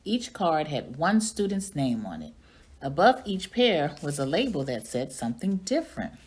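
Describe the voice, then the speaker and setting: Low-voiced, animated woman reading a story. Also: normal speed. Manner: expressive storytelling. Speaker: reading coach or teacher reading aloud. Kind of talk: expressive children's story read-aloud.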